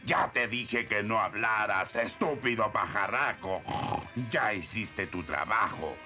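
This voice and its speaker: A man's voice: on the low side, expressive, slow, sharp tone, soft background music, full character voice. A man with a Latin American Spanish accent voicing a surly, threatening beast-man henchman in a cartoon dub. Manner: gruff, menacing, contemptuous.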